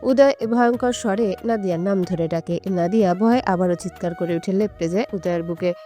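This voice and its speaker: A woman's voice: fairly low pitch, brisk, warm tone. A woman reading a scripted romantic story as an audio story narrator. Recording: music under the voice. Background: background music.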